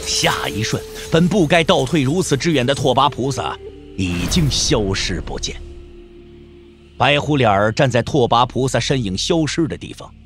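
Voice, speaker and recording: A man narrating a martial arts novel as a scripted audio drama, with an emphatic, suspenseful storytelling delivery. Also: medium pitch. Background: background music.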